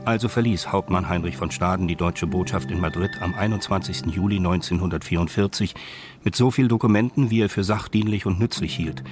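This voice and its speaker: Low-voiced man narrating a crime drama. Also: fairly quick, music in the background.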